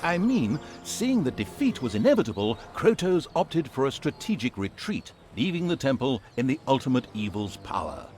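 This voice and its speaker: Theatrical male game narrator, swooping pitch. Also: sing-song, moderate pace, few pauses. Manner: theatrical, wry, mock-grandiose. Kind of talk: scripted comedic fantasy narration. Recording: music behind the voice. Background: music.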